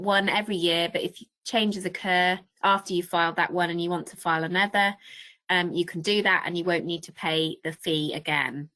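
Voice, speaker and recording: A woman with a British accent and a croaky voice, answering audience questions on a webinar in a helpful, explanatory way. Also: lowish voice, bright, forceful tone, poor audio. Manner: helpful, explanatory, with some ums.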